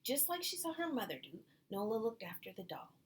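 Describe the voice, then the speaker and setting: Woman, middle pitch, children's read-aloud narration, simple asides to young listeners, faint background noise. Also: wildly swooping pitch, brisk. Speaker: nurse reading aloud to children.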